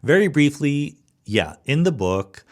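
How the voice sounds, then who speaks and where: A man's voice: fairly high pitch, very animated, unhurried. A man hosting a podcast, giving informal, off-the-cuff explanatory answers and qualifying his points as he goes. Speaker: podcast host. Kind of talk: off-the-cuff spoken answers to listener questions.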